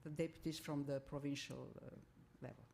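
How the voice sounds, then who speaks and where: A woman's voice: low-pitched, steady tone, a little fast, vocal fry, darkish tone, slight background noise. A woman councillor answering at a council meeting, speaking carefully and searching for words in a language that is not her first. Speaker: city councillor.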